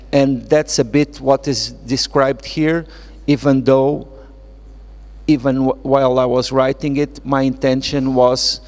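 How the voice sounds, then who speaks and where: Slow, creaky Portuguese man in echoey hall. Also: medium pitch, darkish tone. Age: middle-aged. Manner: halting, searching for words. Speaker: author, guest speaker. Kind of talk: reflective public talk at a literary event. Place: indoor event space with reverberant acoustics. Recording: echoey hall with long reverb.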